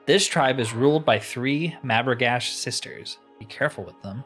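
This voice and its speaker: A man's voice: mid-range voice, moderate pace, a bit bright, close to an everyday voice. World-weary fantasy tribesman, voiced by a man, grave and cautioning, light music beneath. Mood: wary, grave.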